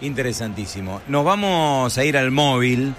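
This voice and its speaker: A man's voice: mid-range voice, lively intonation, normal speed, fairly bright tone, a little hiss or hum. A man hosting a live radio sports show, reacting in brief interjections and passing the air to his reporters.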